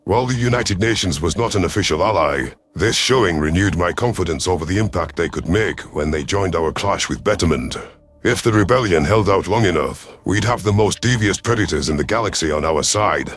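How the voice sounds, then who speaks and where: A man's voice: sits low, animated, fairly quick. A man narrating a science fiction story in the first person, in a dramatic scripted reading style. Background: background music.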